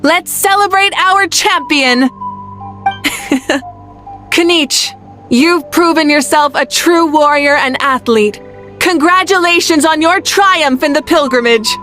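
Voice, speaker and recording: A proud female archon, calm and commanding. She speaks slowly in a slightly high voice. Soft game music plays beneath her lines. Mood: celebratory. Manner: commanding, warm laughter, regal. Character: regal proud female fire archon, confident victor. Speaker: pyro archon. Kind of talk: video game voice acting.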